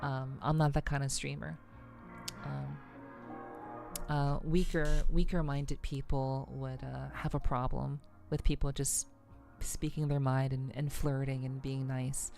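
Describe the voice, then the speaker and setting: A woman hosts a cozy, casual ASMR livestream in a low, soothing voice with plenty of vocal fry. She speaks in a relaxed, halting way, addressing viewers directly. Faint music plays behind her. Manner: relaxed, unhurried, halting delivery. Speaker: VTuber livestream host.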